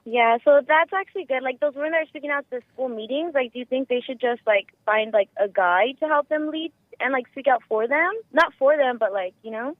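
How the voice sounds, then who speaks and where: A woman with a squeaky voice calling in by phone, chatting casually in a rambling way full of filler words. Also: brisk, hardly any pauses, no echo. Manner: rambling, frequent "like" and "you know". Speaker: caller. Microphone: phone call. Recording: muffled lo-fi telephone line.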